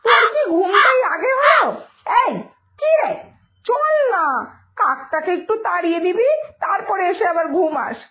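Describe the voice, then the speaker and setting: A woman plays an exasperated village mother from a cartoon fairytale. The voice is extremely high and shrill, swooping widely as she nags, scolds and wails. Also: expressive, normal speed, pushed and bright, full character voice. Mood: nagging, frantic. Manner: shrill scolding, wailing complaints.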